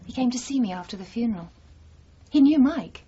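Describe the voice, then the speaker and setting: A self-possessed, challenging heroine in a radio thriller. Her voice is highish and very husky, with lively intonation and an assertive, questioning manner. The recording is a muffled old broadcast. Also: brisk. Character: self-possessed, independent young actress, cool and challenging. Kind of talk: radio drama dialogue. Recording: dull, slightly muffled 1980s radio broadcast.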